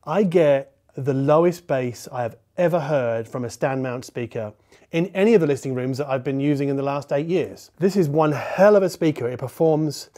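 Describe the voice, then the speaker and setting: A man's voice: mid-range voice, moderate pace, warm tone. A man in his forties explaining a product to camera as a reviewer, step by step and in informal phrasing. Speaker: audio reviewer, presenter. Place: medium living-room listening space, indoors.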